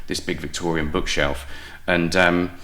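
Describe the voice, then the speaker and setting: British male, deep and bright, animated guest storyteller with halting, self-interrupting delivery. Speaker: guest playwright and audio drama maker. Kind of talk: casual enthusiastic interview storytelling.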